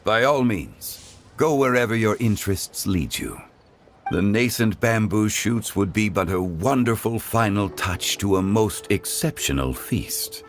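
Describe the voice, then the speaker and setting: An actor playing a genteel, unhurried gentleman in a video game, speaking in a formal, measured and courteous way. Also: lowish voice, sing-song, a little hiss or hum. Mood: genial.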